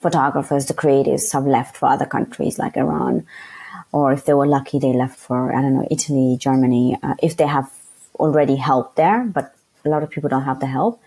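A young woman from Afghanistan speaking frankly as an interview guest, often pausing to search for words with fillers. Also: a bass voice, fairly even intonation, warm tone, breathy edge. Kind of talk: candid conversational interview.

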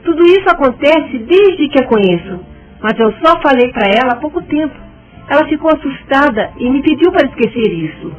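A dull-sounding radio soap recording with faint music. An actress plays a worried, emotional woman, dramatic and pleading. Her voice is high and swings widely. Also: sing-song, brisk. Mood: troubled. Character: Marta, worried emotional woman, anguished friend. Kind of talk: radio soap opera acting.

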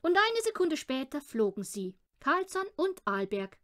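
A woman reading a children's audiobook with expressive storytelling narration. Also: sits high, a little slow. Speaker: audiobook reader.

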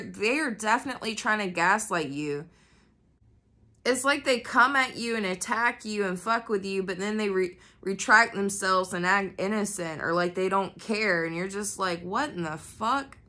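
A woman's voice: mid-range voice, sharp tone. A woman with a nasal voice from a cold, casually doing a tarot reading and chatting to her viewers. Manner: chatty, swears freely, many fillers. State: coming down with a cold. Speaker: tarot reader. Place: indoors at a floor-level reading table. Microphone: camera pointed at the cards, speaker off-frame.

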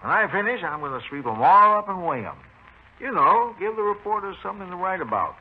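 An actor playing a talkative, eccentric older widowed neighbor in a 1950s radio drama, rambling and hesitant in a folksy way. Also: male voice, sits high, very animated, husky, heavily acted. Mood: eager, proud. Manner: rambling, unhurried. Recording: tinny, muffled 1950s radio recording, faint noise.

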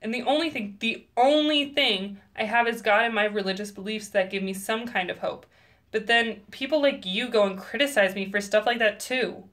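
A woman plays a bitter, lonely teenage girl in an audio drama. The voice is fairly high, and she talks in an emotional, guarded way. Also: normal speed, fairly bright tone, natural voice. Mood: defensive.

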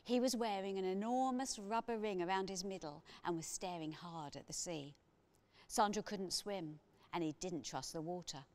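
A middle-aged woman narrating a children's story aloud with expressive delivery, as an author reading. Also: on the low side, normal speed.

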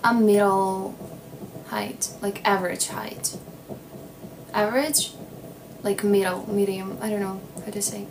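Young Ukrainian-native woman, slow easy livestream chatter into a phone, clear background noise in the room. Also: medium pitch. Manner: slow, relaxed, playful, lots of "like". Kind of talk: casual livestream chat reading comments. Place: small indoor room. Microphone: phone at arm's length.

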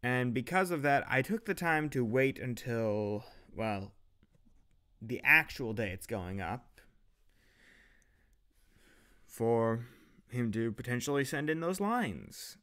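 A man's voice: mid-range voice, lively intonation, takes it slowly, long pauses, fairly bright tone. A man chatting casually behind the scenes as an audio drama director, with self-deprecating asides.

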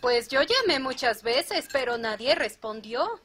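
Woman acting high-voiced curious mother. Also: big pitch swings, a little slow, fairly bright tone, breathy edge, exaggerated voice. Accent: Latin American. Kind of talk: anime fan dub dialogue.

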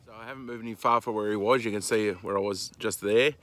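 A man in his forties with an Australian accent, narrating a casual vlog in an informal, halting way, recorded outdoors on a handheld phone. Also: lowish voice, steady tone, fairly bright tone. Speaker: farm vlogger. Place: outdoors on a dry rural farm. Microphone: handheld phone at arm's length.

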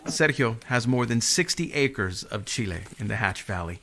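A man's voice: mid-range voice, lively intonation, normal speed, fairly bright tone. A man in his forties with an American accent, delivering scripted narration as the host of a regional TV feature. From southern New Mexico, United States.

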